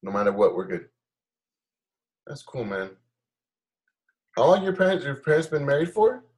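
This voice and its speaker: A man's voice: mid-range voice, big pitch swings, moderate pace. A young man chatting casually with a friend as a podcast host, in a loose, slangy, affirming way. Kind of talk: casual chat between friends.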